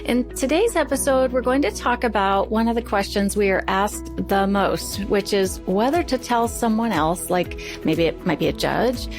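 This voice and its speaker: A woman's voice: medium pitch, animated, normal speed, hardly any pauses, over music. A woman co-hosting a podcast, introducing and talking through the discussion in a conversational way. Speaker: podcast co-host.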